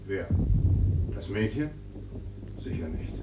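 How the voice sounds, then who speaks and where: A man playing a sober, persuasive peasant uncle in a classic radio play, speaking in a measured, reasoning way. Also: deep voice, very animated, exaggerated voice. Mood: subdued, questioning. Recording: dull, noisy, echoey old recording, distant voice.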